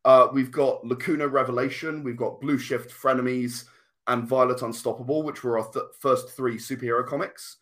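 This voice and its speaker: A man's voice: mid-range voice, steady tone, normal speed. A man speaking as an interview guest, thoughtfully explaining his creative work at length in conversation. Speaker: comic and game writer, interview guest.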